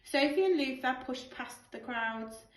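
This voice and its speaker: A woman teacher reading a picture book to young children with expressive, measured narration. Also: high voice. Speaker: primary school teacher reading a bedtime story. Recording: roomy, distant microphone.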